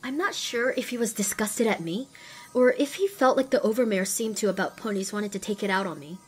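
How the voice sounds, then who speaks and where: A woman's voice: mid-range voice, fairly quick, husky, soft background music, close to an everyday voice. A woman voicing a young, determined and wry female pony who narrates her own adventure in an audio drama. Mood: wry, tense. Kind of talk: scripted first-person narration in audio drama.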